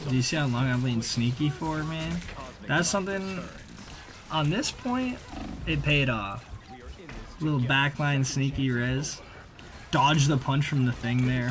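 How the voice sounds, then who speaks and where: A man giving casual, slangy voice-over commentary on gameplay, conversational with animated reactions. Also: mid-range voice, measured pace, music in the background. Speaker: gaming video creator.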